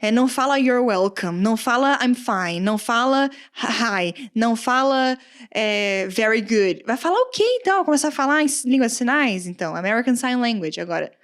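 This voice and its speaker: A woman from Brazil with a countryside accent, a guest English teacher chatting casually on a podcast, animated, often laughing and acting out quoted comments. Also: on the high side, normal speed. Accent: Brazilian Portuguese, countryside.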